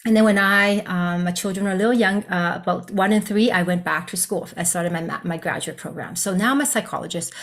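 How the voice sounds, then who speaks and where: A woman's voice: on the low side, brisk, few pauses. A woman whose first language is Cantonese, a psychologist and counselor educator, telling her personal story in an earnest, conversational way on a video call. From Vietnam. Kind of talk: virtual presentation, personal story.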